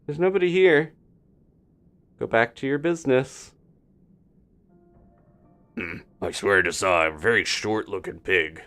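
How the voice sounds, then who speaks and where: A man's voice: lowish voice, measured pace, pauses often, fairly bright tone, soft background music. A man doing casual live video game commentary, thinking aloud in a playful, rambling, muttering way.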